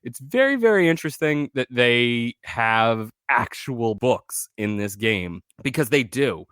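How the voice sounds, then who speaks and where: Stuffy nasal guy hosting a game show podcast, scratchy from allergies, big announcer patter, mid pitch. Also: animated, a little slow, smooth, dry recording, studio quality. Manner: showy announcer patter, keeps saying "ladies and gentlemen". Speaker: podcast host.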